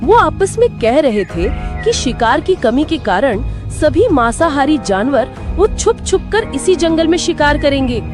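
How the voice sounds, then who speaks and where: A woman's voice: sits high, very animated, measured pace, few pauses. A woman voicing a cunning, manipulative female fox in a cartoon, with dramatic delivery. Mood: feigned outrage. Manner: sly, manipulative, theatrically dramatic. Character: cunning scheming female fox plotting to rule jungle. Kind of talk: Hindi cartoon voice acting. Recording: background music behind the voice.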